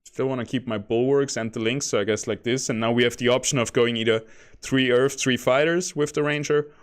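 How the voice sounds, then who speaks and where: A man streaming a game, talking casually in a soft, fairly monotone voice and rambling from one thought to the next. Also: mid-range voice, fairly quick, clear, resonant tone. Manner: self-described monotone, soft bedroom voice; rambling, chuckles between remarks. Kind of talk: casual gameplay commentary. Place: home.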